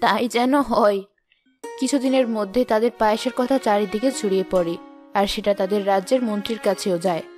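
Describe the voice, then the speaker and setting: A woman's voice: medium pitch, fairly even intonation, fast talker, mellow, faint music behind. A woman reading scripted narration for a children's moral story.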